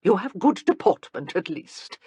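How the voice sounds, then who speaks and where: A woman's voice: mid-range voice, very animated, measured pace, mellow, husky, no room sound, full character voice. A woman voicing a blunt, commanding elderly aristocratic grandmother in an audiobook. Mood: imperious. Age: older. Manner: imperious, cutting.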